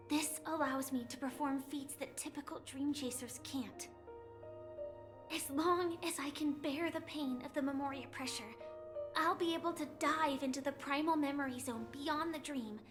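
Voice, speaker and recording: A woman playing a gentle, resolute young warrior in an anime game, speaking earnestly and solemnly in a measured way. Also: high-pitched, lightly acted.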